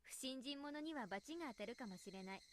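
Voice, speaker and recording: A teenage village girl in a Japanese visual novel. Her voice is fairly high and quick, with polite phrasing and a gently teasing, matter-of-fact poise. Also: animated, a little hiss or hum. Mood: explanatory.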